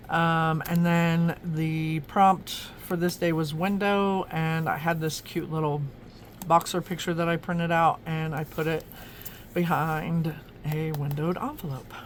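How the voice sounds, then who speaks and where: A woman's voice: low-pitched, slight background noise. A woman from Oklahoma chatting casually as she flips through her journal, rambling with lots of ums and restarts. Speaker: journaling vlogger.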